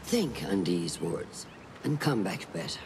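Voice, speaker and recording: An actor playing a stern, wise master of hidden assassins in a video game, with a measured, instructive manner. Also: male voice, low voice, big pitch swings, vocal fry, slight background noise, exaggerated voice. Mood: calm, admonishing. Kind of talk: dramatic video game acting.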